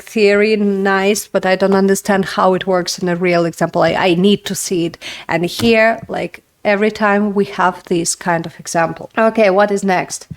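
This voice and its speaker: A young woman whose first language is Russian, hosting a casual talk-to-camera book review, speaking in a chatty way full of "like" and "you know". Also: medium pitch. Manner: chatty, filler-heavy, frequent "like". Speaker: writing video host.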